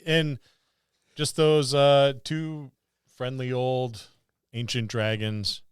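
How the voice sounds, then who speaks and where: Clear-voiced man in casual gaming talk. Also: mid-range voice, flat delivery, slow, no echo, studio quality. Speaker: podcast co-host and experienced game master. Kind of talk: casual podcast chat about tabletop role-playing.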